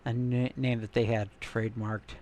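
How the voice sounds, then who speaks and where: A man's voice: mid-range voice, flat delivery, moderate pace, warm tone, a little hiss or hum. A man casually commenting while browsing articles and images online, musing and trailing off as he searches. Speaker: gaming content creator.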